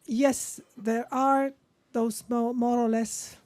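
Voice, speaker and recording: A woman's voice: on the high side, little pitch movement, slow pace, a little mellow, no room sound. A woman moderating a policy workshop session, friendly and rambling, with frequent restarts. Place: conference room.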